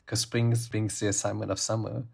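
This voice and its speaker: A man's voice: on the low side, level tone, a little fast, gravelly, husky. A man from Malaysia, co-hosting a casual podcast chat in a rambling, reflective way. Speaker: podcast co-host.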